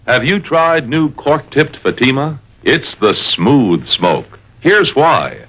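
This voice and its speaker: A man reading a 1950s radio commercial in a smooth, unhurried selling manner. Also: mid-range voice, sing-song, a bit bright, highs cut off. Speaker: commercial announcer.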